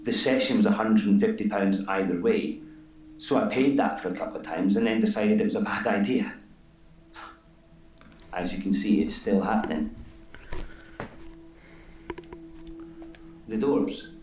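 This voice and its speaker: An actor playing a nervous, apologetic man who vanishes through doors, talking in a halting way. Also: fairly low pitch, a little mellow, a little hiss or hum, close to an everyday voice. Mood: uneasy. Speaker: patient in a game. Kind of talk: full-motion video game acting. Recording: roomy game audio from a distance.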